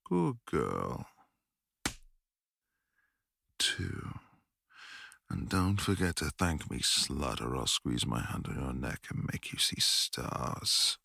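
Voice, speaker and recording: A deep-voiced man with a husky, gravelly edge speaks slowly and deliberately, his pitch swooping widely between low murmurs and sharper rises. He plays a dominant, commanding part in an intimate audio roleplay and often sinks to a whisper. The recording is close and dry, with no room sound. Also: big pitch swings, sharp tone, no room sound.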